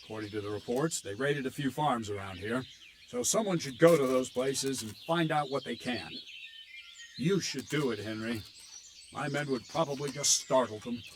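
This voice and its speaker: An actor playing a gruff, blunt medieval army captain in a video game cutscene, telling a grim tale in a steady, weighty way. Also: male voice, mid-range voice, normal speed, background noise, natural voice. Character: gruff middle-aged male army captain. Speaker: military captain.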